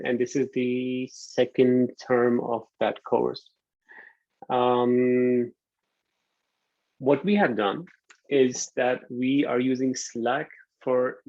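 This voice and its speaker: A man from Pakistan in his thirties giving a relaxed online university lecture, often pausing with ums. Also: medium pitch, dry recording. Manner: relaxed, hesitant with frequent ums. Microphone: computer mic on a video call. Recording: dull lo-fi video call audio.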